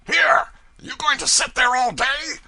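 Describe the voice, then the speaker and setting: A man's voice: fairly high pitch, very animated, normal speed, gravelly, voice pushed up, heavily acted. A man voicing a bullying, irritable older riverboat pilot who barks and sneers. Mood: shouting, furious. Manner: barking, shouting, sneering.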